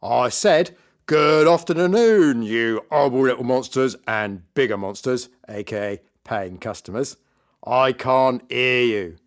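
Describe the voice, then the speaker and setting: Man playing a loud, sneering children's party magician. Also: mid-range voice, a little slow, close to an everyday voice. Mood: blustering. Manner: sneering, blustering showman patter. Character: nasty loud male children's party magician, mocking and cowardly. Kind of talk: children's storybook character voice.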